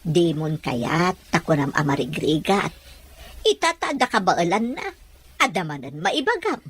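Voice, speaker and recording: A woman's voice: fairly low pitch, big pitch swings, unhurried, a bit bright, husky, heavily acted. A woman playing a caring, outspoken older mother in a radio drama. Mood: scolding.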